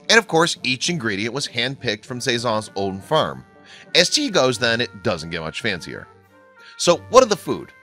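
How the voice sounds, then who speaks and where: A man narrating a scripted online video in a lively, bouncy read, recorded as a studio voice-over. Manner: lively, bouncy read with wide pitch swings. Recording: faint music bed behind the voice.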